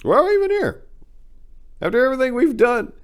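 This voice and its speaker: A man's voice: very high voice, sing-song, a little fast, clean tone, pitched above the performer's own voice. A man playing a weary, doubtful, grieving older hefty crime boss who pleads and questions with emotion in an audiobook crime drama. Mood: anguished, tearful.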